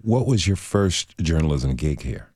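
A man's voice: a bass voice, big pitch swings, unhurried, darkish tone, breathy edge, dry recording. A man hosting a public radio show, presenting and interviewing guests.

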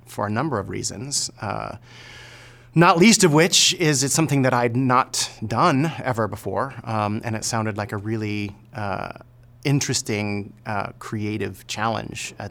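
A man's voice: on the low side, creaky, fairly bright tone. A man speaking reflectively in an interview as a theater director, thoughtful and hesitant.

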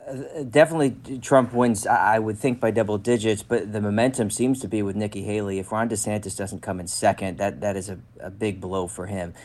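Quick, lower-voiced male reporter. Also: steady tone, darkish tone. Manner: rapid, with restarts. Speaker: Washington reporter panelist. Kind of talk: panel discussion.

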